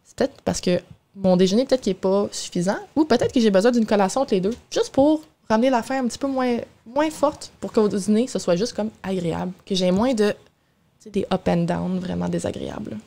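A woman's voice: medium pitch, fairly quick, vocal fry, dark tone. A young woman with a Québécois French accent explains things in a casual, informal interview style. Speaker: guest nutritionist. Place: small radio studio, indoors. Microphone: boom-arm studio microphone with headphones.

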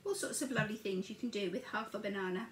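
A woman's voice: medium pitch, fast, slight background noise. An older woman chatting through a breakfast recipe in her home kitchen, talking each step through as she works. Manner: chatty, narrates each step while working. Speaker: home cooking vlogger. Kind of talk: casual cooking demonstration. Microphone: camera across the counter. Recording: echoey kitchen with clattering dishes.

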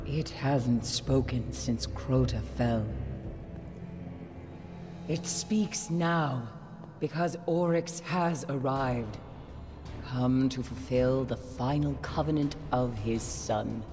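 A woman's voice: extremely low pitch, slow pace. An actress playing a haunted female occult seer, terse and ominous, in a game cutscene. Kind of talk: video game cutscene acting. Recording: music under a long reverb.

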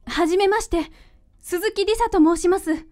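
A woman's voice: extremely high pitch, moderate pace, darkish tone, faint music behind. An actress playing a polite, bewildered fiancée in her twenties in a radio drama. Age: 20s. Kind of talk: Japanese radio drama acting.

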